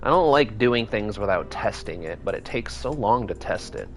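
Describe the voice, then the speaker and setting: A man's voice: on the low side, animated, normal speed, faint music behind. A man in his thirties giving casual, rambling commentary while playing a space game, thinking aloud as he builds. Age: 30s. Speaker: game streamer.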